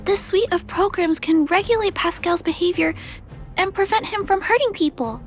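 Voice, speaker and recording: A very high, childlike girl's voice for a video game. She is gentle, polite and earnest, choosing words thoughtfully with quiet determination. Soft music sits faintly behind her. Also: moderate pace. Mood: earnest, hopeful. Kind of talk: scripted video game voice acting.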